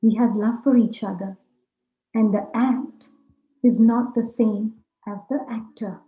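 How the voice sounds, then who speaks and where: A woman leading a guided meditation talk, speaking deliberately in short, measured phrases. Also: a little high, steady tone. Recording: roomy echo, dull lo-fi sound, music. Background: music.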